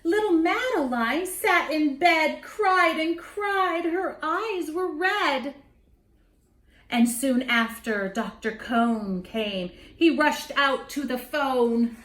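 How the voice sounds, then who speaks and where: A woman reading a children's picture book aloud in an expressive, theatrical way, with dramatic pauses. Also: sits high. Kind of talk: children's story read aloud. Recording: roomy echo.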